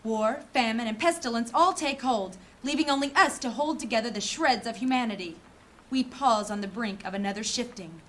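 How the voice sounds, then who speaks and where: High-voiced, imperious female council mage played by an actress. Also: moderate pace, fairly bright tone, natural voice. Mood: impassioned, indignant. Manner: formal, theatrical delivery. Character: strong-willed female council mage, suspicious and cutting. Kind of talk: fantasy audio drama acting.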